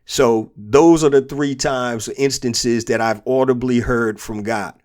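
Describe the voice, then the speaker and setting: A man hosting a motivational business podcast, speaking through a cold with a stuffed-up nose and a sore throat. His voice sits at an ordinary middle pitch for a man. He talks earnestly and repeats each key point so that it lands. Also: steady tone, slow, darkish tone. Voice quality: congested. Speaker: podcast host and business coach.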